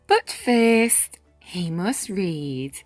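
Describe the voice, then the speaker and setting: A woman reading a rhyming children's picture book aloud, with measured, dramatic phrasing and deliberate pauses. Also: mid-range voice, big pitch swings, darkish tone, no room sound. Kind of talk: expressive children's read-aloud narration in rhyme. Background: music.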